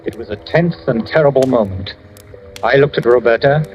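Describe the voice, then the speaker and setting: An actor playing a tense first-person narrator in a dramatic old radio suspense tale. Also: male voice, mid-range voice, normal speed, faint music behind, close to an everyday voice. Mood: tense, grave.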